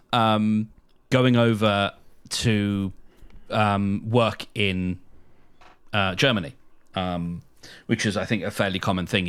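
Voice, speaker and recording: A man explaining things casually as a podcast co-host, pausing often in a measured way. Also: on the low side, a bit bright, clean tone.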